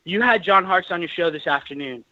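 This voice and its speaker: A man in his thirties phones in to a sports talk show, his higher voice earnest and full of restarts. The call comes through dull and dry, like a phone line. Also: a little fast, pushed and bright, no room sound. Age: 30s. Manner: earnest, many restarts and fillers. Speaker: caller.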